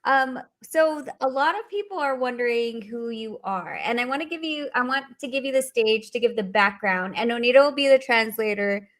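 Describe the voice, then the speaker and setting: A woman's voice: fairly high pitch, animated, moderate pace, hardly any pauses, a bit bright. A woman co-hosting a podcast, introducing a guest in an upbeat, enthusiastic way. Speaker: podcast co-host. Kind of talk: casual chat, introducing a guest.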